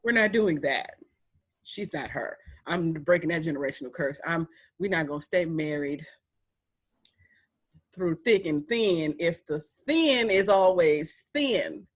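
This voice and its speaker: A young woman with a deep voice hosting a podcast, chatting in an animated, emphatic way with playful repetition. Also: measured pace. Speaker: podcast host. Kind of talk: casual chat.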